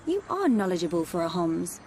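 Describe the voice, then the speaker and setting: A woman's voice: on the low side, very animated, a little fast, darkish tone, a little hiss or hum. An actress playing a formal, reserved young noblewoman guide in a video game, speaking with stately dignity. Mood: formal, composed. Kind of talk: video game cutscene acting.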